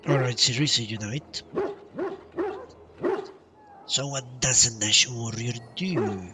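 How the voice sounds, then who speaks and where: A man's voice: medium pitch, takes it slowly, recorded from a distance, heavy background noise. A man giving casual live commentary on a game he is playing, musing aloud and reading out dialogue choices. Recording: voice off-mic over loud game audio. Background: loud game music.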